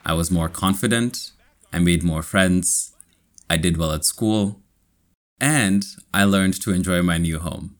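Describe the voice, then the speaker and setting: A man from Kenya with an American accent, narrating his own story for a language lesson in a plain, measured way. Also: deep voice. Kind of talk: scripted first-person narration.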